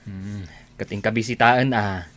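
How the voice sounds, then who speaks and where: An actor playing a casual, hungry older brother in a radio drama. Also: male voice, on the low side, fairly even intonation, measured pace, a bit bright. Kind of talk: Ilocano radio drama acting.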